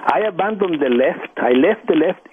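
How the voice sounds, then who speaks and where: Caller: man, earnest and halting, on a thin phone line with light hiss. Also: a little high, expressive. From El Salvador. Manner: halting, earnest, many restarts. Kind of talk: call-in radio comment. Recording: phone line with faint noise.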